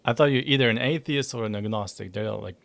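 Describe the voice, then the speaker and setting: A man hosting a live reaction stream breaks in to argue with what he hears. His blunt, casual commentary sits at an ordinary, mid-range pitch. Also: fast talker, vocal fry, a bit bright. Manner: blunt, interrupting, argumentative.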